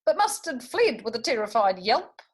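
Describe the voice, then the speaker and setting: A woman reading picture books aloud to young children in an expressive way, with chatty asides and little tag questions. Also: fairly high pitch, moderate pace, breathy edge. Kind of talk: animated picture-book read-aloud with asides to young listeners.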